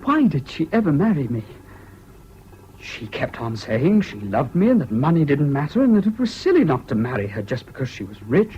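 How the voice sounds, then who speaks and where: An actor in a 1970s British radio drama playing a troubled, self-pitying jilted husband, halting and plaintive, on an old recording. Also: male voice, on the high side, big pitch swings, warm tone. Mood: hurt, bewildered. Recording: dull, faintly noisy old radio recording.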